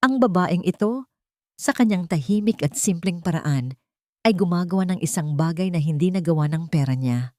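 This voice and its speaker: Female narrator, lower register, scripted romantic drama storytelling, close dry sound. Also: expressive, moderate pace, mellow, no echo.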